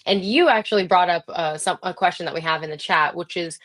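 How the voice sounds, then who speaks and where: A woman with a slightly low voice hosts an informal interview. She is chatty and affirming, putting questions in an encouraging, conversational way. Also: fairly quick, a bit bright. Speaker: host, interviewer.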